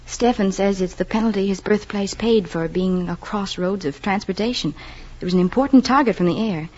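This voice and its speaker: Casting: woman playing loyal, romantic young bride, firm and earnest, mid-pitched husky voice, vintage radio drama. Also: a little fast, a little mellow, lightly acted. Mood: proudly resolute. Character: proud, romantic young pregnant wife, loyal and resolute. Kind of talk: 1940s radio drama acting. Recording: muffled old radio broadcast.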